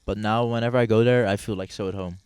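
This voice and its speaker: A young man from the Netherlands chatting casually as a podcast guest outdoors at a barbecue, relaxed and hesitant, with lots of ums and restarts. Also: on the low side, fast, smooth, no room sound. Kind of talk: casual chat.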